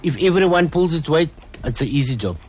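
A man's voice: a little high, expressive, fairly quick, darkish tone, breathy edge. A man with a Cape Afrikaans-tinged South African accent, chatting loosely and laughing as a choir chairman in an interview. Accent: South African, Cape Afrikaans-influenced. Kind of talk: casual interview chat.

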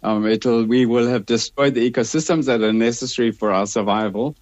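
A man, speaking as a professor on a radio show, measured and hesitant, his voice a little croaky from a cold. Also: medium pitch, a little mellow, no room sound, lo-fi sound. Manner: measured, hesitant, many restarts. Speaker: professor, studio guest. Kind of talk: radio interview, explaining.

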